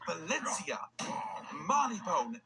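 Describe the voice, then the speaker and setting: A man's voice: high-pitched, huge pitch swings, slow, gravelly, heavily acted. A man voicing a sly mercenary adventurer in a video game, telling the tale in a theatrical, swaggering way. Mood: scandalized relish. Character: dashing, sly mercenary adventurer briefing a comrade. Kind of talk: scripted game character dialogue. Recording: music behind the voice. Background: music.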